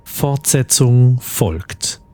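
A man reading the closing credits of a German radio play as scripted, measured announcement. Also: medium pitch, lively intonation, dark tone. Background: plucked guitar music.

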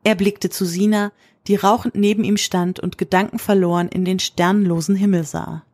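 A woman's voice: medium pitch, fairly even intonation, normal speed, a little mellow, dry recording. Woman narrating an audio drama.

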